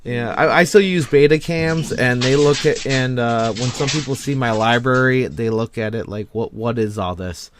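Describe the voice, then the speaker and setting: A man's voice: mid-range voice, moderate pace, few pauses, noisy background. A man hosting a casual online panel chat in a joking, rambling way. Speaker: host of an online panel discussion.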